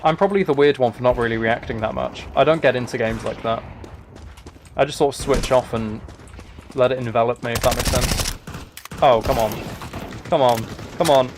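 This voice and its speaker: A man's voice: medium pitch, moderate pace, pauses often, noisy background. Man live-streaming over game gunfire. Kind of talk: casual live gaming commentary. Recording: game gunfire and clicks behind voice. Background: video game gunfire, clicking.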